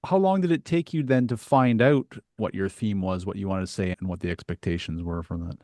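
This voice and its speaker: A man with a fairly low voice hosts a casual interview. His speech is conversational and informal. The sound is dry, with no room echo. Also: brisk, darkish tone. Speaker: podcast host.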